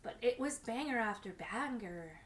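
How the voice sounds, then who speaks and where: A woman with a slightly hoarse voice from a sore throat, co-hosting a casual chat podcast. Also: on the high side, lively intonation, moderate pace. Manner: chatty, drinking warm tea. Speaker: podcast co-host.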